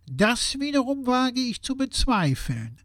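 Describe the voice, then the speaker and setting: A performer plays a sober, skeptical partner in an audio-play dialogue. The delivery is unhurried with very lively intonation, recorded dry and scripted in style. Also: medium pitch, no echo. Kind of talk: scripted satirical dialogue on current affairs.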